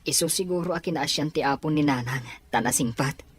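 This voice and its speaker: A man playing a worried, respectful young son in an audio drama, with a husky voice. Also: fairly high pitch, brisk. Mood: worried, grateful.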